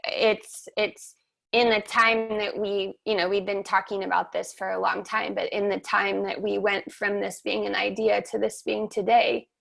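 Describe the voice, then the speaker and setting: Measured woman with a flat, gravelly voice. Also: medium pitch. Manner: slow, measured, reflective. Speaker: webinar host and somatic teacher. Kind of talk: reflective guided conversation. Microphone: video call.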